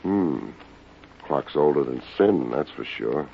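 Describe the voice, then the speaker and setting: An actor playing a wisecracking, unsentimental private investigator in an old radio detective drama. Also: male voice, a bass voice, expressive, slow, gravelly, husky, exaggerated voice. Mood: blunt. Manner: wry, hard-boiled, slangy. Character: wisecracking, unsentimental male private investigator narrating his case. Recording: dull, noisy old radio recording.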